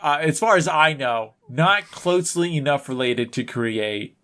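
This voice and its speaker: Man in halting, jokey podcast chat. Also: a little high, animated, pushed and bright, smooth. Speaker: podcast co-host. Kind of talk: casual comedic chat.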